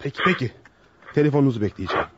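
An actor playing a composed, courteous doctor who speaks formally and soothingly in an old radio play. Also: male voice, mid-range voice, rapid-fire, a little hiss or hum, close to an everyday voice. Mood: insistent, courteous. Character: composed, reassuring male doctor, courteous with patients. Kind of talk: old radio theater acting. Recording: dull, lo-fi old radio drama.

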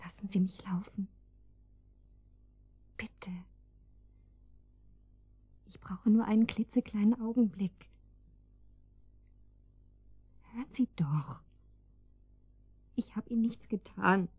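A mellow, dark-toned housewife in a radio drama. She is anxious and naive, with a halting, defensive delivery. The sound is very dry and intimate. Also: medium pitch, husky, no room sound. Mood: frightened, pleading. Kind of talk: German radio drama acting.

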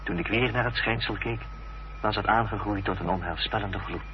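Actor as an earnest storyteller, muffled old recording. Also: male voice, fairly low pitch, fairly even intonation, fairly quick, noisy background, close to an everyday voice. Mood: suspenseful. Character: earnest gentleman narrating his own suspenseful story. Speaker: narrator. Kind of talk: dramatic scripted narration in a radio play. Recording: dull, faintly humming old radio recording.

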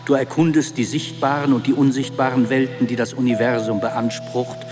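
A man's voice: mid-range voice, flat delivery, moderate pace, close to an everyday voice. An actor playing a reverent man in a poetic radio drama, speaking formally and in a declamatory way. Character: reverent man addressing his master poetically. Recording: long reverb under loud background music.

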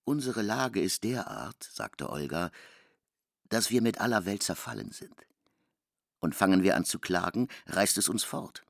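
An actor playing a sombre narrator in a German literary radio drama, reading a villager's resigned words in a measured way. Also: male voice, deep voice, expressive, vocal fry, fairly bright tone, dry recording, studio quality, heavily acted.

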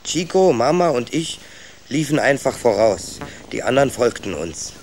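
A storytelling rat voiced by a man recounts his youthful adventure in a children's radio play. The male voice is medium-pitched, with music clearly playing behind it. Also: sing-song, moderate pace, darkish tone, lightly acted.

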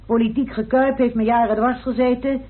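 Woman investigator, radio drama, high voice, precise, assured, tinny narrow-band old recording. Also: fairly even intonation, fairly quick, slightly rough. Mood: measured, assured.

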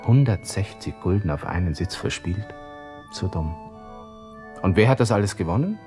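An actor playing a proud, despairing young army lieutenant with a Viennese accent, muttering to himself in a radio drama monologue. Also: male voice, low voice, lively intonation, normal speed, over music. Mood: brooding, despairing. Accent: Austrian, Viennese.

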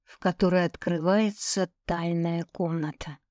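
A woman's voice: fairly low pitch, fairly even intonation, unhurried, warm tone, no echo, clean studio sound. A woman reading a first-person novel aloud as an audiobook narrator.

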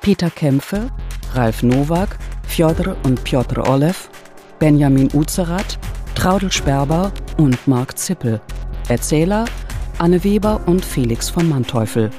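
A man reading the closing credits in a slow, formal voice, pitched slightly high. Faint music sits underneath. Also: lively intonation, mellow. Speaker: credits announcer.

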